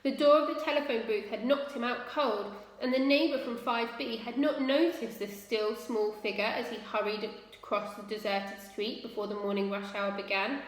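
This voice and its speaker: High-voiced woman storyteller, very echoey. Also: fairly even intonation, normal speed, few pauses. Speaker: school staff storyteller. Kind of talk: expressive children's story reading. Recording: very echoey room with long reverb.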